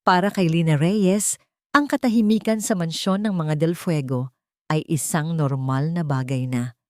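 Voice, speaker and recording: A woman reading a scripted dramatic story in a solemn, emotive manner with deliberate pauses. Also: low-pitched, a little mellow, no echo, studio quality. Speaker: audio drama narrator.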